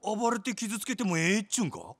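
An actor playing a volatile, rough samurai rogue with a Kansai accent in a video game cutscene, brash and excitable. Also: male voice, sits high, fairly quick, heavily acted. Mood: incredulous. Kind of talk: dramatic game cutscene acting.

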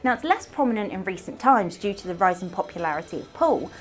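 A woman's voice: mid-range voice, big pitch swings, fast talker. A British woman in her thirties presenting a scripted promotional piece to camera. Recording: clean voice over background music. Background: music.

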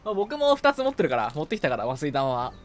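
A man streaming a video game in casual co-op chat, reacting playfully and excitably and often talking to himself as he plays. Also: fairly high pitch, fast, slight background noise.

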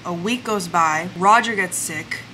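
A woman's voice: fairly low pitch, unhurried, pushed and bright, a little hiss or hum, poor audio. A woman in her twenties with a congested voice from the flu, chatting casually to the camera at her vanity in her bedroom, full of "like" fillers. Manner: chatty, storytelling, lots of "like" fillers. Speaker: lifestyle vlogger. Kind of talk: casual vlog chat to camera. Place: home bedroom, indoors.

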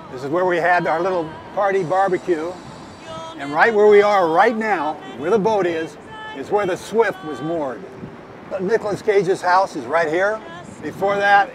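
A man's voice: high-pitched, background noise. An older American man around his seventies, talking as a folksy harbor tour guide and reminiscing in an informal way. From Southern California, United States. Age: older, around 70s. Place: outdoors on a small moving boat in a harbor.

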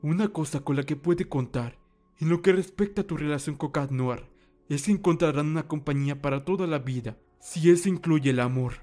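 A man playing a calm, wise old mentor in a fan dub, speaking formal Latin American Spanish in a measured, reassuring way. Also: a little high, faint music behind, lightly acted.

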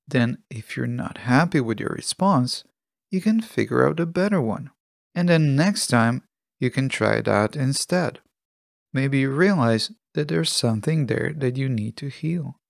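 A man hosting a solo self-help podcast, speaking from a script in a measured, deliberate, instructive way. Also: mid-range voice, expressive, gravelly, no echo, clean studio sound. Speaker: podcast host.

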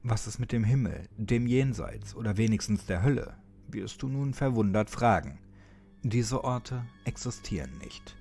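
A man narrating a horror story as a dramatic audiobook, addressing the listener ominously with pauses and occasional near-whispers. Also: lowish voice, expressive, warm tone, breathy edge. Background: music.

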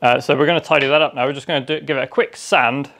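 A man explaining a woodworking job step by step in a relaxed, practical way while he works in his home workshop. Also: mid-range voice, fast talker, gravelly. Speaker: DIY workshop host. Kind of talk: casual how-to narration while working. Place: cluttered home workshop, indoors.

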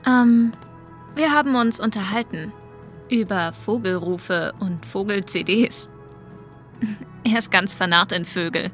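Hesitant, gentle young woman. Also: mid-range voice, slow, faint music behind. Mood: hesitant, friendly. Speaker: video game character. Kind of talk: video game dialogue.

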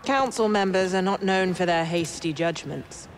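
An actress playing a wry, patient female Jedi in a video game, composed and gently teasing. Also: fairly low pitch, moderate pace, a little hiss or hum, close to an everyday voice. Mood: calm, reassuring. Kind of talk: cinematic video game acting.

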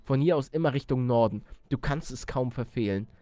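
A man's voice: medium pitch, fairly quick, dark tone, no echo, natural voice. Kapitän Schmierhose, polite ship captain read by a man. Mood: earnest, sentimental. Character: plain-spoken male ship captain, polite and sentimental.

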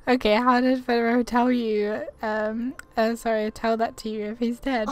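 A woman's voice: on the high side, flat delivery, normal speed. A woman giving casual commentary on her own game playthrough and reading dialogue choices aloud, easily amused. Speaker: gamer narrating own playthrough. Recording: music behind the voice.

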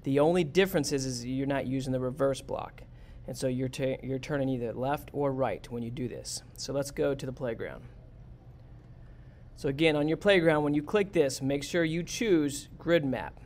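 A man's voice: medium pitch, moderate pace, darkish tone. A man, a teacher, walking his class step by step through a robot programming task in a screen-recorded lesson. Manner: plain, step-by-step, talks students through it.

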